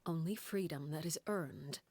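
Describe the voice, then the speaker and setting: A woman playing a solemn, stern leader and father figure in a video game, speaking in a measured, formal way. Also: low voice, a little mellow, slightly rough, dry recording, exaggerated voice. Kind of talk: scripted video game voice acting. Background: background game music.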